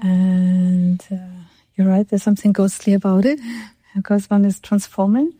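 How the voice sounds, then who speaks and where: A woman speaking English as a second language in a very mellow, relaxed voice, close and dry. They take their time over reflective talk about art, halting to find the right word. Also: mid-range voice, no room sound. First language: not English. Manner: halting, searching for words. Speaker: artist in conversation.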